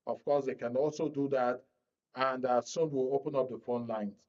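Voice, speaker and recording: A man's voice: medium pitch, steady tone, normal speed, darkish tone. Nigerian man hosting, slightly muffled sound. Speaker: radio show host. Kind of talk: moderating a panel discussion. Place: radio studio. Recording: dull, muffled audio.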